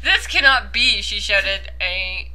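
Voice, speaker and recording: A woman's voice: medium pitch, animated, slow pace, pushed and bright, low-quality recording. A young woman doing a casual mocking reading with commentary, giggly and swearing freely. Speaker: host of a comedic commentary reading.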